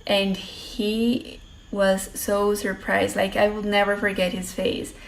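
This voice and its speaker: Colombian woman, mid pitch, vocal fry, animated storytelling. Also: takes it slowly, rough recording. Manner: animated, disbelieving retelling. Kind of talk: casual anecdote.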